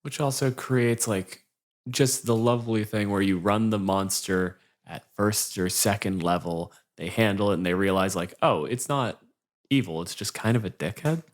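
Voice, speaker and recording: A man's voice: fairly low pitch, normal speed. A man speaking as a game master, casually improvising scenes and chatting in an informal, conversational way. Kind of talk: casual chat and improvised tabletop scene narration.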